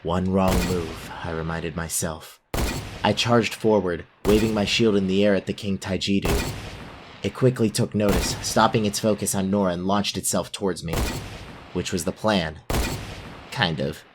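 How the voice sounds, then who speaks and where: Man acting a low-voiced earnest young warrior. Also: steady tone, normal speed, background noise, natural voice. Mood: earnest narration. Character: young male fantasy warrior, self-doubting, brave, earnest. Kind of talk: first-person narration of an audio drama.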